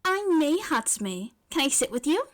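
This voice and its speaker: A woman's voice: high-pitched, big pitch swings, moderate pace, voice pushed up. A woman voicing an eager, blunt, socially awkward young inventor girl in a story reading. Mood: bluntly friendly.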